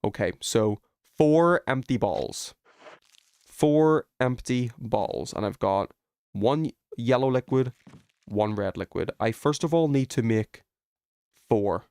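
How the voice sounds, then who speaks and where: A man with a mid-pitched voice talks as a live streamer over a video game. His delivery is informal and conversational, as if thinking aloud. The sound is dry, with hardly any room in it. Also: expressive, slow, darkish tone, smooth, no room sound. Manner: informal, thinking aloud while working out puzzles. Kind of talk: casual chat while playing a video game.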